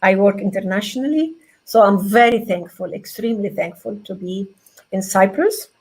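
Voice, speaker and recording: A woman's voice: medium pitch, a little mellow, slightly rough, low-quality recording. Earnest woman from Venezuela. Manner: earnest, grateful, halting with restarts. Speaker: guest. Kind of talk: interview answers.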